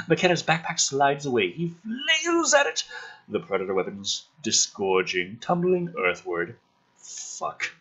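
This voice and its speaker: A man with a forceful, raspy voice reads a script aloud with lively, swinging pitch. He drops into casual, exasperated commentary with plenty of swearing. The recording sounds slightly dull and lo-fi. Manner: sardonic, sweary, exasperated asides. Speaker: host reading and critiquing a film script draft.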